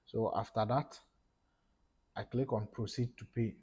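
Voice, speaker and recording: A young man with a Ghanaian English accent narrates a screen tutorial in a casual way, stopping now and then to find his words. His voice sits low and sounds a little husky. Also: steady tone, warm tone. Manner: casual explaining, talks through each step, halting with fillers. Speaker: tech YouTuber. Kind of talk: screen-recorded tutorial walkthrough. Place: small room indoors.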